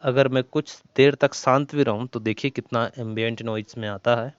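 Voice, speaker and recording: A man's voice: mid-range voice, steady tone, normal speed, clean tone, lo-fi sound. A man in a casual product-test talk to viewers, explaining step by step and repeating his points. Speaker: tech reviewer. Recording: noisy amateur recording with ambient background noise.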